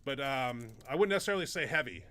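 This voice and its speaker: A man's voice: mid-range voice, expressive, quick pace, pushed and bright. A middle-aged man hosting a casual Q&A chat, rambling with many fillers and restarts, his nose stuffed up. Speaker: show host and powerlifter.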